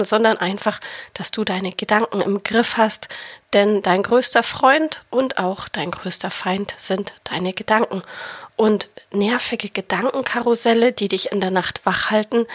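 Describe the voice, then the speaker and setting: A woman's voice: mid-range voice, breathy edge, no room sound. A young woman with a cold, hosting a podcast as a baby sleep coach and speaking in an encouraging way straight to the listener. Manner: warm encouraging direct address, addresses listener as du. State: has a cold. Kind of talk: casual podcast monologue.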